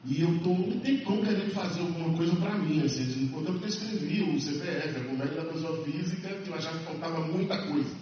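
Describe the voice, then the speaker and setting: Man, actor at a press conference, emphatic, slightly high voice, echoey hall, distant mic. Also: fast, hardly any pauses, darkish tone, slight background noise. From Salvador, Bahia, Brazil. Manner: emphatic, passionate, repeats phrases for stress. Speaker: stage and TV actor.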